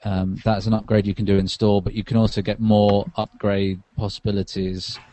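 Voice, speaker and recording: A man's voice: fairly low pitch, flat delivery, moderate pace, warm tone. A tired man, a podcast co-host, chatting casually about tech while lying on a sofa.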